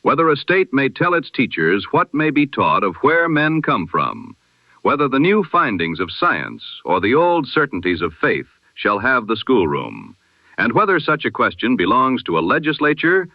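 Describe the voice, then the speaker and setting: A man reading the day's news as a formal, measured 1920s radio bulletin. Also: mid-range voice, no room sound. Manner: formal, measured, rhetorical delivery. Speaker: newsreader. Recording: dull lo-fi radio-style sound.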